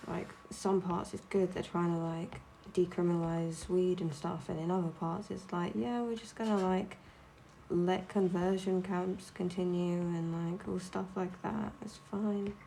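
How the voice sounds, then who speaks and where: A woman's voice: fairly low pitch, steady tone, unhurried, vocal fry, mellow, a little hiss or hum, poor audio. A young woman streaming while she draws, chatting casually in a blunt, sweary, ranting way. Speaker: streamer and artist.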